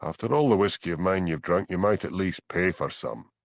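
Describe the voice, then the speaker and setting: A man voicing a stubborn, grumbling village constable with a Scottish Highland accent in an audiobook. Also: expressive, a little fast, gravelly, dry recording. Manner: dry, complaining, questioning.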